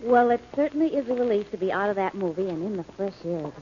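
A woman acting as a detective's playful American sweetheart in 1940s radio drama. Her mellow voice swoops expressively, coy and determined, through a muffled old recording. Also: medium pitch, lively intonation, fast talker, lightly acted. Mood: relieved, curious. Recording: dull, noisy vintage radio recording.

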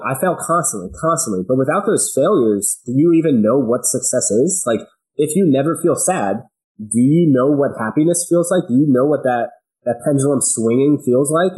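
A man with a medium-pitched voice speaks as a guest on a podcast. He is casual and slangy, making points emphatically through anecdotes and rhetorical questions. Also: darkish tone, rough recording. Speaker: podcast guest, business owner. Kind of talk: casual chat, telling anecdotes.

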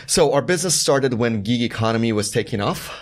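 A man's voice: mid-range voice, expressive, brisk. A man from Iran, a startup founder, explaining his business methodically as a podcast guest. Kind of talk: interview conversation.

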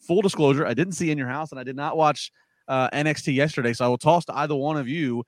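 A man's voice: a little high, fast talker, no echo, clean studio sound. A man hosting a casual wrestling news podcast, rambling and correcting himself as he goes. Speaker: podcast host.

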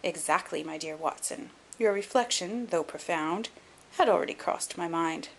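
A woman voicing a shrewd, composed gentleman detective in an audiobook, measured, articulate and dryly superior. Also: fairly low pitch, poor audio. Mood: dryly amused. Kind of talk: audiobook character acting.

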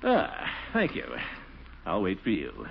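Man: pompous middle-aged bachelor uncle, flustered bluster, hugely animated pitch, old radio comedy, dull lo-fi sound. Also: takes it slowly, gravelly, slight background noise, full character voice. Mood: coy, eager. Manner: blustery, exasperated, swooping delivery with chuckles. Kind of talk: 1940s radio comedy acting. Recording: dull, lo-fi 1940s radio recording.

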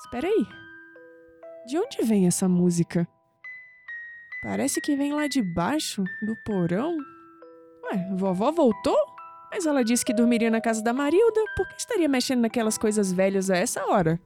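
A woman playing a wry, caring young sceptic in an expressive audio drama performance. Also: a little high, moderate pace, soft background music. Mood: puzzled.

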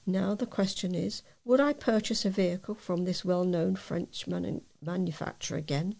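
British female volunteer reader for printed letters, mid-pitched with gravelly fry. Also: a little mellow. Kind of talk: reading readers' letters aloud.

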